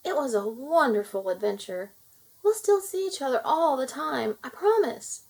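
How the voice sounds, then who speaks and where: Lady doing a sweet little mermaid girl, high voice, super emotive and earnest, storybook style. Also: lively intonation, normal speed, darkish tone, husky, exaggerated voice, rough recording. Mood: joyful.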